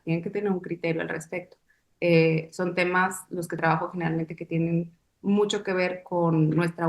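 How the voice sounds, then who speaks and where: A young Mexican woman from Sonora, a choreographer, answering interview questions in a thoughtful way and pausing to search for words. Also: fairly low pitch, echoey room. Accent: northern Mexican. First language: Spanish. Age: young adult. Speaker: guest choreographer.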